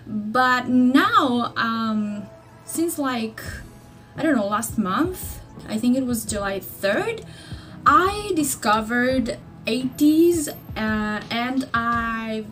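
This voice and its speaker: Young greek woman rambling to her webcam in her bedroom, high voice, slow unhurried talk, lots of ums. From Greece. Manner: rambling, chatty, many fillers and restarts. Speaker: vlogger. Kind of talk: casual chat to camera. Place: small bedroom indoors. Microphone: laptop webcam mic at desk distance.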